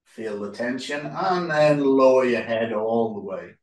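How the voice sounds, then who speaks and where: A man leading a yoga relaxation as instructor, speaking calmly in short, unhurried phrases with pauses. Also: medium pitch. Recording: roomy echo, distant microphone.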